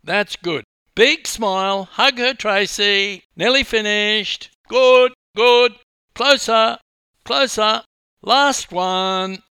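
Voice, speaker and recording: A man as a loud, bossy press photographer. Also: high voice, smooth, dry recording, voice pushed up, full character voice. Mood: bossy, urgent. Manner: calling out short brisk orders.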